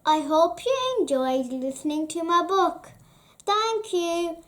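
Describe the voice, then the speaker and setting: A reader narrating a children's bedtime story in a deliberate, pausing storytelling way. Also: very high voice, animated, fairly bright tone.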